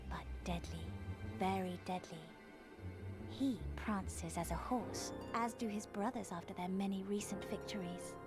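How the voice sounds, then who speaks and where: A woman voicing a gentle, wise young tribeswoman guide in a fantasy game, explaining things in a measured, solemn storytelling manner. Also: mid-range voice, over loud music, pitched above the performer's own voice, close to an everyday voice. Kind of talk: fantasy game character dialogue read aloud.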